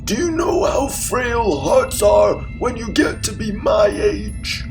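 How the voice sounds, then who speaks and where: A man's voice: high voice, animated, slow, gravelly, far from the mic, loud music behind the voice, pitched above the performer's own voice, full character voice. A man voicing a frail, easily startled old man who wails his complaints in a comic radio play. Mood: panicked.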